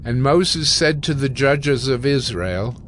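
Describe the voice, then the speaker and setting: A man's voice: mid-range voice, expressive, slow pace, a bit bright, a little hiss or hum. A man giving scripted narration as the narrator of a dramatized scripture reading.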